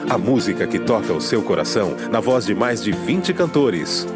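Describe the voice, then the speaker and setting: Punchy male promo announcer over loud music. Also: lowish voice, big pitch swings, moderate pace.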